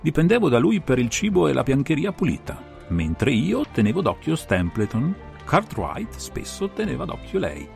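A man playing a brilliant, self-assured detective who explains a case in a measured, didactic, theatrical way for a dramatised audiobook. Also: mid-range voice, wildly swooping pitch, gravelly, a little mellow, voice pushed up. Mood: methodical, assured. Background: music.

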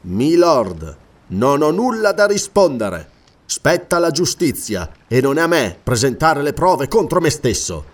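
A man's voice: fairly high pitch, big pitch swings, measured pace. A man voicing a sly, defiant convict quartermaster in an audiobook, insolent and emphatic in manner. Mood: cold, stubborn. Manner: insolent, challenging rhetorical questions, emphatic. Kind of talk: dramatic audiobook character reading.

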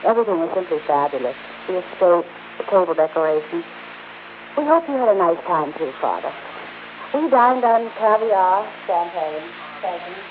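Woman acting a snobby gushy rich daughter, lowish voice, old muffled radio play. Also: animated, moderate pace, lightly acted. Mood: breezy, self-satisfied. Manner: affected, gushing. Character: frivolous, snobbish high-society daughter, blithely self-absorbed. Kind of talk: 1960s radio drama acting. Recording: band-limited, faintly noisy old radio recording.

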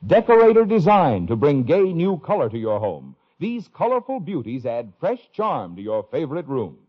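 An American man delivering an emphatic 1950s radio advertising pitch on a dull old recording. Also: wildly swooping pitch, moderate pace, husky. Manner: emphatic, salesmanlike. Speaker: commercial announcer.

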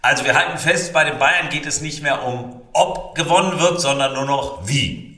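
Bright, roomy-sounding German man chatting. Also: fairly high pitch, moderate pace, far from the mic. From northern Germany. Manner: opinionated, self-correcting, joking. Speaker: podcast host. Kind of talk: casual football betting chat.

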